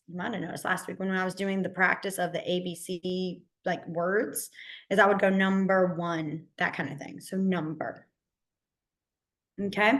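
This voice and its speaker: A woman with a hoarse, partly lost voice, teaching a beginner sign language class online in a chatty, encouraging way. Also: medium pitch, fairly bright tone. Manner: chatty, encouraging, many fillers.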